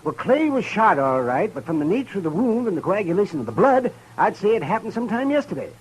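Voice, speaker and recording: A man's voice: sits high, big pitch swings, fast, slight background noise, low-quality recording. An actor playing a fussy, hesitant old male town doctor who stammers in a 1950s radio drama. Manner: stammering, full of uhs.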